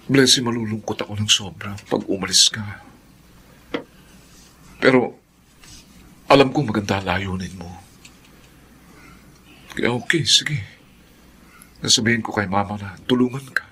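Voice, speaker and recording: A man acting as a supportive, soft-hearted young suitor in a Filipino radio drama, with gentle, hesitant phrasing. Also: fairly low pitch, unhurried, pauses often, husky, full character voice. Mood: sad, supportive.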